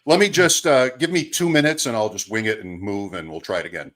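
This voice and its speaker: A man's voice: on the low side, fairly quick, a bit bright. A man who hosts a radio show, joining a stream over a video call and talking in an easygoing, soothing way. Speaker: radio host joining as a guest.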